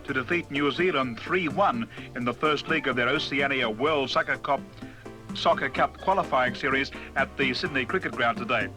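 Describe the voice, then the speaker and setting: A man gives soccer results in a formal newsreading voice of middle pitch. The recording is an old radio broadcast with music behind it. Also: normal speed. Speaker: sports newsreader. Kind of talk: radio sports news.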